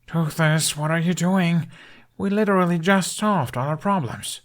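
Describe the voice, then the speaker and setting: A man's voice: a little high, unhurried, pitched above the performer's own voice, natural voice. A man voicing an anxious young male dragon rider, speaking up in exasperation. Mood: exasperated.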